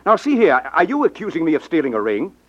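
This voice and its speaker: An actor playing an indignant, blustering suspect in a 1950s radio drama, defensive and sputtering, on a muffled old recording. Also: male voice, a little high, huge pitch swings, fast talker. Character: indignant, blustering man accused of theft.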